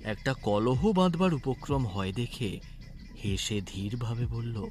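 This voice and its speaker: A man narrating a scripted audio story in an expressive storytelling manner. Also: medium pitch, slow. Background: music.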